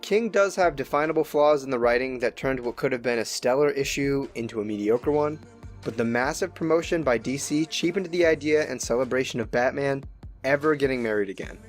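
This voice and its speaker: A man's voice: mid-range voice, brisk. A man giving a scripted, opinionated review as a show host, with conversational asides. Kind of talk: scripted narration, review commentary. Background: music.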